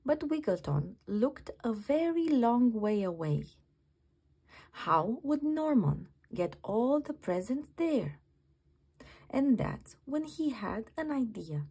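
A woman reading a children's bedtime story aloud in an expressive, dramatic manner. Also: fairly high pitch, slow, slightly rough. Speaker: children's book reader.

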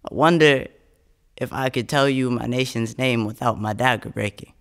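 A man's voice: medium pitch, normal speed, fairly bright tone. A young man from the United States, a spoken word poet, recorded close on a studio microphone. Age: young adult. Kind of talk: spoken word poetry and interview answers. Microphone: close studio condenser microphone.

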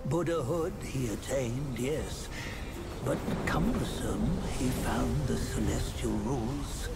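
An actor playing an old monkey sage, a solemn and wistful storyteller in a video game, measured and grave. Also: male voice, mid-range voice. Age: older.

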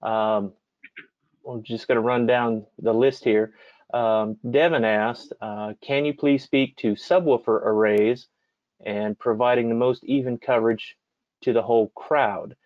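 A man hosting a casual chat and putting audience questions, speaking in a hesitant, halting way. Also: mid-range voice, steady tone, dry recording, lo-fi sound. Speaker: host.

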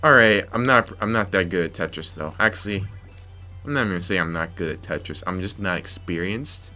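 Young male let's-player, raspy from yelling, bright voice, faint game music underneath. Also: low-pitched, lively intonation, a little fast. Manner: frustrated, muttering to himself, sudden yelps. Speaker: gameplay commentator. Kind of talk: let's play commentary.

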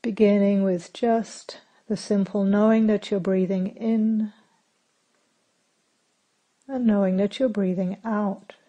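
Slow, mellow woman guiding meditation. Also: medium pitch. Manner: calm, unhurried, gentle instruction with long pauses. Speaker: meditation teacher. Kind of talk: guided meditation.

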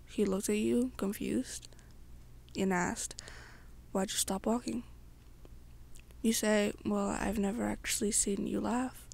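A young woman telling an ASMR roleplay story in the second person, her breathy voice sometimes dropping toward a whisper. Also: mid-range voice, fairly even intonation, takes it slowly, long pauses, a little mellow.

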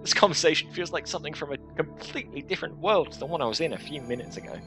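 A man's voice: on the high side, animated, music in the background. Young man reading a game aloud. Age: young adult. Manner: reads narration earnestly, breaks off into quick casual asides. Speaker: game streamer. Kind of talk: reading a visual novel aloud with asides. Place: small room at home. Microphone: headset.

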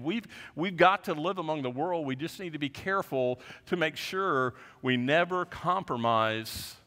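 A man in his fifties, a pastor preaching a sermon from a lectern in a large church, earnest, often dropping his voice low at the end of a point. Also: fairly bright tone. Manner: earnest, gestures widely, voice drops low at ends of points. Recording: long reverb of a large sanctuary.

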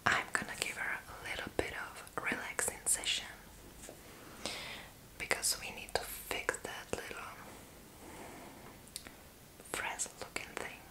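A young woman speaks entirely in a breathy whisper, as in an ASMR roleplay. She takes her time over each short phrase. Her delivery has a sassy, dry playfulness. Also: rough recording. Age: young adult. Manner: unhurried, sassy, playfully deadpan. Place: small room indoors.

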